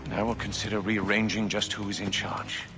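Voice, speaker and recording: Male actor as a tyrant king, low voice, imperious, stately, menacing, game cutscene, music underneath. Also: little pitch movement, fairly quick, lightly acted. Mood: cold menace. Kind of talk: video game cutscene acting.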